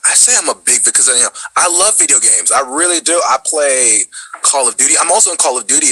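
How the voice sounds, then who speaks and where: A man's voice: medium pitch, lively intonation, quick pace, pushed and bright, husky, low-quality recording. A man, a voice actor guest, chatting casually and rambling with many self-corrections. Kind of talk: casual chat.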